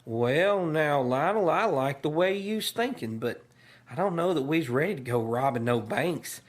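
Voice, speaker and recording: An actor playing a cheerful, dim-witted, scheming cowboy outlaw with a folksy rural Western accent in a comedy audio drama. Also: male voice, fairly high pitch, lively intonation, measured pace. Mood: reassuring. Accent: rural American West. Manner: folksy, mangled homespun sayings.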